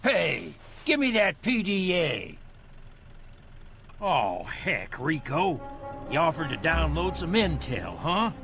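An actor playing a folksy, breezy veteran agency handler in a video game, colloquial and blustery. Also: male voice, fairly high pitch, big pitch swings, takes it slowly, gravelly, a bit bright, faint music behind, exaggerated voice. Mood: breezy, scheming. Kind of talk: video game cutscene acting.

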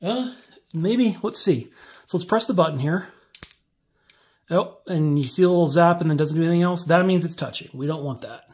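A man's voice: on the high side, normal speed. Man explaining a hands-on build. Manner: relaxed step-by-step explaining while working. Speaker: DIY tutorial maker. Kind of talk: casual hands-on tutorial. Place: indoor workbench.

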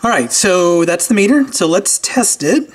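A man's voice: sits high, a little slow. Man thinking aloud over noisy workbench. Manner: informal, thinking aloud while working. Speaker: host of a repair channel. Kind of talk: casual hands-on repair walkthrough. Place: indoors at a cluttered workbench. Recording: steady clear background noise with clicks.

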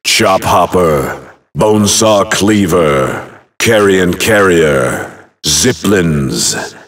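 An actor playing a grave, imposing fantasy video game announcer, calling out names one at a time in emphatic, theatrical bursts. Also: male voice, low voice, lively intonation, extremely slow, fairly bright tone, far from the mic, heavily acted. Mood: grand, dramatic.

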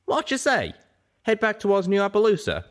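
A man's voice: high voice, huge pitch swings, normal speed, fairly bright tone, pitched above the performer's own voice, full character voice. A man voicing a loyal, plucky male pegasus cowboy with a drawling country dialect in a story reading. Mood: planning.

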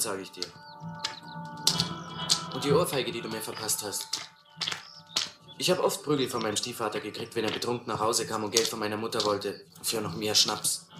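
A man acts out a streetwise young tramp for a radio play, cynical and plain-spoken. His medium-pitched voice sits in a roomy sound, with music loud behind it. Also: lightly acted. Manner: blunt, streetwise, self-justifying. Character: tough, cynical young male drifter, streetwise and scrappy. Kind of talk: German radio play acting.

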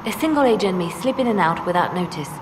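An actress playing a hooded female spymaster in a fantasy video game, speaking in a measured, persuasive way. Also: on the low side, expressive, long reverb tail, background noise, close to an everyday voice. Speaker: advisor.

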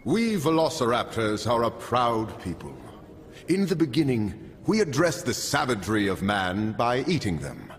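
A man's voice: mid-range voice, big pitch swings, normal speed. A man voicing a proud, dignified velociraptor in a video game, narrating in a solemn, grandiose manner. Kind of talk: scripted narration. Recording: long reverb, faint noise.

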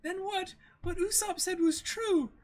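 A man voicing a gentle, anxious young rich girl, pleading in an amateur dramatic manga reading. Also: extremely high pitch, measured pace, pitched above the performer's own voice. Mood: anguished.

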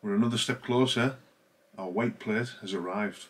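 A man's voice: on the low side, moderate pace. Chatty middle-aged man, throaty. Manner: chatty, matter-of-fact walkthrough. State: throaty from a chest infection. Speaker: van life vlogger. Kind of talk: casual how-to vlog. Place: home kitchen indoors.

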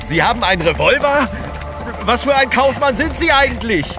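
An actor playing a prim, old-fashioned English gentleman traveller in an audio drama, stuffy and proper. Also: very high-pitched, very animated, normal speed. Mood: astonished. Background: dramatic music.

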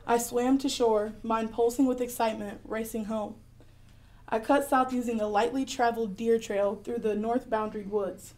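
Clever, adventurous girl heroine woman actor, higher-pitched voice, earnest first-person radio drama storytelling. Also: fairly even intonation, moderate pace, darkish tone, natural voice. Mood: excited, wondering.

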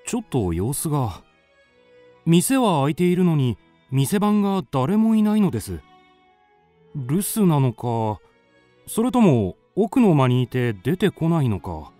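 A man's voice: fairly high pitch, very animated, slow pace, mellow, no echo, faint music behind. An actor playing a curious man in his twenties who narrates a mystery radio drama. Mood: puzzled.

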